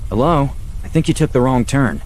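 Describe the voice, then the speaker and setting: A man's voice: mid-range voice, animated, moderate pace, darkish tone, slight background noise, voice pushed up, lightly acted. A man voicing an irritated, uneasy young male car passenger in a horror story, speaking sharply. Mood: alarmed, annoyed. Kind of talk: acted dialogue in a horror story.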